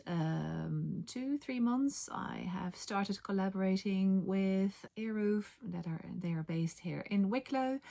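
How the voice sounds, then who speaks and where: A woman's voice: medium pitch, vocal fry, mellow. A middle-aged woman with a light Dutch accent, chatting casually in a vlog with many ums and self-corrections. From Netherlands. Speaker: knitting vlogger and linguist.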